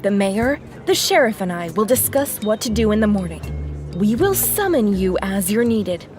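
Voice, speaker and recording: A woman's voice: mid-range voice, sing-song, moderate pace, a little mellow, soft background music, close to an everyday voice. Woman acting a commanding, sardonic deputy mayor. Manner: crisp, authoritative, sardonic. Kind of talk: audio drama acting.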